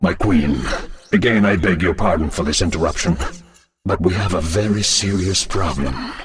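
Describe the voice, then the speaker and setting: A man's voice: low voice, a crowd in the background, natural voice. Formal, deferential lieutenant acted by a man. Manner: formal, courteous, measured. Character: deferential, grave male lieutenant to a queen. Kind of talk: scripted video game voice acting.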